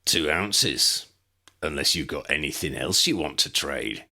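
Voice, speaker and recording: A man voicing a gruff, shady middle-aged wizard dealer who talks in rough slang. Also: a bass voice, expressive, a little slow, bright, forceful tone, pitched below the performer's own voice, heavily acted. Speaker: voice actor.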